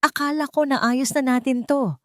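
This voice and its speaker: A woman's voice: high voice, steady tone, normal speed, fairly bright tone, no echo, clean studio sound, voice pushed up. A woman voicing an anxious adult son, agitated and strained, in a story. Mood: panicked. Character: stressed, anxious adult son in family trouble.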